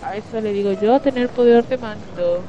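A woman's voice: mid-range voice, normal speed, a crowd in the background, natural voice. A woman playing a sarcastic, mouthy teenage prefect in an amateur radio play. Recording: dull, clearly noisy home recording.

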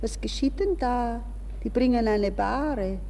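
An actress playing a distraught young woman in a hushed, dreamy, intense inner monologue in a 1960s radio play. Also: fairly high pitch, takes it slowly. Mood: dreamy dread. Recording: reverberant 1960s radio recording with hiss.

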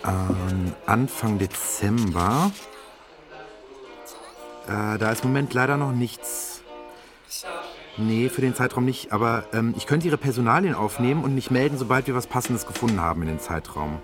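A man's voice: medium pitch, moderate pace. A man speaking as a hotel receptionist, making announcements and taking booking calls. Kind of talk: promotional announcement and phone booking talk. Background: guitar music.

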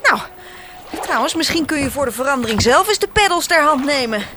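An actress playing a cheerful sister who travels the world, breezy and teasing, in a Dutch radio play. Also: female voice, sits high, expressive, a little fast, background noise. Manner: breezy and teasing, with frequent laughs. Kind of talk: radio drama acting.

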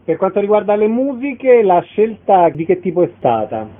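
Phone-like, higher-voiced male radio interviewer. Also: sing-song, normal speed. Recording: thin phone-like band-limited radio sound.

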